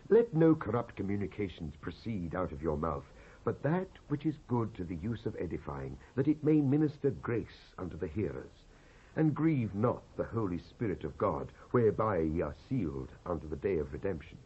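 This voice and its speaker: A British man giving a solemn, emphatic, oratorical dramatised reading of King James scripture, with a husky voice, on an old cassette recording. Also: mid-range voice. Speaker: scripture narrator. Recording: dull lo-fi cassette transfer.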